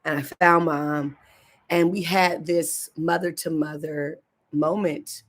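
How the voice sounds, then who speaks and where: A middle-aged woman with a hoarse voice, hosting a morning motivational livestream as a minister and telling a personal story in an earnest way. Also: deep voice, fairly even intonation, slow. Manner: earnest, storytelling, sometimes emotional. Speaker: minister and show host.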